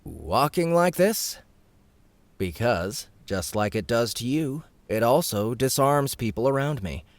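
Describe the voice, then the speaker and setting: A man's voice: mid-range voice, expressive, no echo, pitched above the performer's own voice. Man doing a prim, eager naval officer. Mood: explaining. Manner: brisk, proper, slightly flustered. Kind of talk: audiobook character voice.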